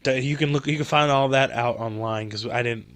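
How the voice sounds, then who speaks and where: Man, podcast host, monotone, rambling, just over a cold, dry close sound. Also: mid-range voice, a little fast, no echo. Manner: self-described monotone, rambling with many fillers. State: recovering from a cold. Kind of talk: casual solo podcast talk.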